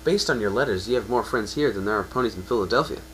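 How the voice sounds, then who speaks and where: An easygoing young stallion played by a man talks in a low voice, confident and casual. It is dialogue from a fan-made cartoon story reading, with a faint hum under it. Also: quick pace, a bit bright, natural voice, poor audio. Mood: confident, joking. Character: confident, easygoing young male pony from the city. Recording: home recording with faint hum.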